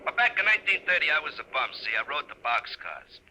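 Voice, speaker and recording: A man's voice: on the high side, sing-song, quick pace, sharp tone, a little hiss or hum, full character voice, low-quality recording. An actor playing a cocky, chummy hobo ghost in a 1950s radio horror-comedy, talking in boastful, needling tough-guy slang. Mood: grumbling, reminiscing.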